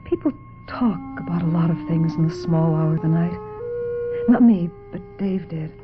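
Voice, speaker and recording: A woman's voice: fairly low pitch, normal speed, natural voice. A woman playing a naive, weary young actress who tells her troubled story earnestly in a radio mystery drama. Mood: wistful, reflective. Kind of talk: dramatic radio mystery acting with first-person narration. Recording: dull lo-fi radio drama, music behind.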